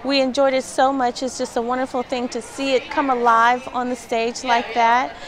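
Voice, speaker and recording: A woman's voice: high voice, level tone, normal speed. A woman audience member giving a casual testimonial. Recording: faint background noise.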